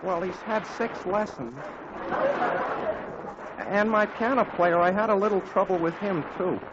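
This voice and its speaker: A man's voice: high-pitched, a little slow, crowd noise. An actor playing a sheepish teenage boy bandleader who hesitantly explains his troubles, in a 1940s radio comedy. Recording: muffled old radio broadcast with hiss.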